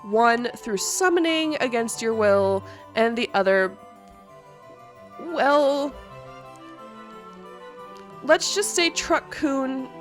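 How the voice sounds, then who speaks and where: A woman's voice: high voice, drawn-out words, long pauses, faint music behind. A woman hosting a cooking video in a casual, chatty way. She sounds congested from allergies. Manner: chatty, relaxed, talks while cooking. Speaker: cooking channel host. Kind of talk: casual cooking vlog narration.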